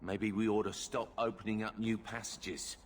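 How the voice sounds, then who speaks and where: A man playing a gruff, wryly humorous working-class mine foreman in a video game, blunt and colloquial. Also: lowish voice, level tone, normal speed, a little hiss or hum, lightly acted. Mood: wry, rattled. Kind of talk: video game dialogue acting.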